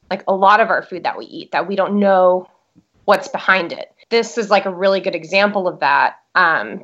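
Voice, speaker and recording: Young American woman, documentary producer being interviewed; chatty, filler-heavy delivery, mid-pitched, slightly dull recording. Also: a bit bright. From United States. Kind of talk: interview answers. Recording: dull lo-fi sound.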